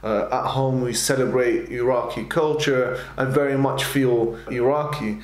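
A man in his twenties chatting casually and excitedly to camera, often chuckling as he talks. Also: mid-range voice, measured pace. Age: 20s. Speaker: participant in a DNA test video. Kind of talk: casual reaction talk to camera. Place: small bare room, indoors, at a desk. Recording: roomy echo, faint background music.

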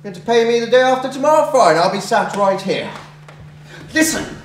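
A man's voice: very high-pitched, sing-song, a little fast, slight background noise. A man acting a boorish, hot-tempered bill collector who scorns women, in a blustering, theatrical stage comedy style. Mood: angry, insistent. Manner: blustering, ranting, mockingly theatrical. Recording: very echoey room, distant mic.

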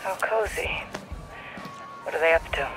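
A woman playing a brisk, businesslike mission handler over radio in a game. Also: sits low, over music, poor audio. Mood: cool, dry. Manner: brisk, controlled. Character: cool, businesslike female intelligence handler. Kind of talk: cinematic game acting.

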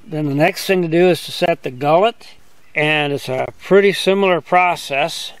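An older man giving a relaxed, step-by-step outdoor demonstration as a sawmill instructor, talking in a plain, easygoing way. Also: a little high, normal speed, clean tone, dry recording. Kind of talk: hands-on demonstration. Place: outdoors on a grassy lawn.

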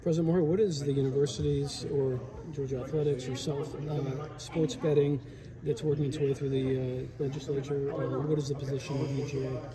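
A man's voice: medium pitch, little pitch movement, a little fast, few pauses. A man reporter asking pressing, probing questions. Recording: crowd chatter behind.

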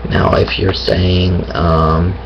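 A man talking about his faith in a hesitant, thinking-aloud way, with a raspy voice and many pauses and restarts. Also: deep voice, little pitch movement, lo-fi sound, noisy background. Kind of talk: casual monologue on faith.

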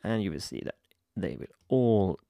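A young man from Sweden with a Swedish accent, hesitantly walking through a software tutorial at his computer, with many ums and restarts. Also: low voice, big pitch swings, normal speed, vocal fry, dry recording, clean studio sound. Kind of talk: screen-recorded software tutorial. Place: home room at a computer.

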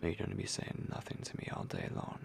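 A man playing a possessive, hungry vampire lord in an intimate ASMR roleplay, breathy and commanding, speaking slowly with menace. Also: natural voice. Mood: menacing, commanding. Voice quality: breathy, whispery, gravelly. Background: music.

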